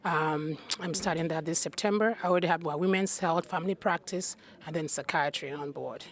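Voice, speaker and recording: A woman's voice: on the low side, a little fast. A woman from Nigeria with a Nigerian accent, answering questions as an interview guest. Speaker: interview guest, healthcare provider.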